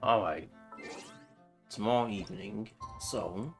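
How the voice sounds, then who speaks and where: A man's voice: on the low side, slow, vocal fry. Laid-back man streaming a game. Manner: laid-back, repeats phrases. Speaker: livestreamer. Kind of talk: casual game commentary. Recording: game music faintly behind.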